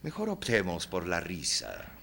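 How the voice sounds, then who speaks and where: An actor playing a fatalistic Victorian novelist in a theatrical drama, solemn and declamatory, with darkly taunting, grandiloquent speech. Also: male voice, low voice, wildly swooping pitch, a little slow, gravelly, pushed and bright, slightly rough, full character voice. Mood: brooding, fatalistic. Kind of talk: theatrical stage-drama dialogue.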